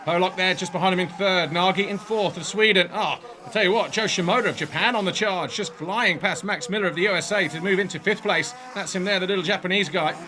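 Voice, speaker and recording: High-voiced male race commentator. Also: slight background noise. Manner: brisk, excited play-by-play. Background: music bed.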